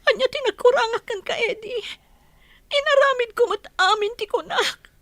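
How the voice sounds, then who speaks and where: A woman's voice: very high voice, normal speed, a bit bright, dry recording. A woman playing an anguished, accusing betrayed wife and mother in an Ilocano radio drama.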